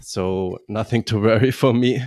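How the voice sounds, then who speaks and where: Casting: Austrian man, lowish voice, guest on a podcast, halting with restarts. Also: a little mellow. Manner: halting, many restarts and fillers. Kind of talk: podcast interview.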